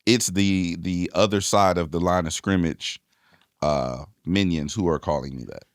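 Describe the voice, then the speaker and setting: A man co-hosting a podcast with a deep voice, telling playful, animated stories and acting out scenes. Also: measured pace, dry recording, clean studio sound. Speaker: podcast co-host, DJ. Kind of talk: casual chat.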